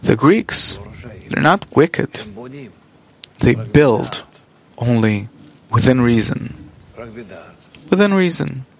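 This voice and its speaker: Slow, gravelly male interpreter. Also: mid-range voice, expressive. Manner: measured and halting, with pauses mid-phrase. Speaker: interpreter of a spiritual lesson. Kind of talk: simultaneous interpretation from another language.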